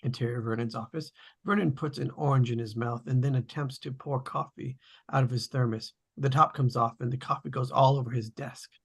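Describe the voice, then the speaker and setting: Male narrator for table read: gravelly fry, raspy tone, scripted stage directions. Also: mid-range voice, steady tone, a little fast, a bit bright.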